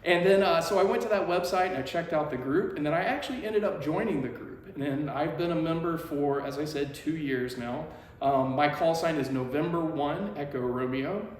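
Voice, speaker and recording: Man, fairly high voice, casual presenter talking to viewers, heavily echoing room. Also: normal speed. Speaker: club member presenting a video. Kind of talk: casual promotional talk to camera. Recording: echoey, reverberant room.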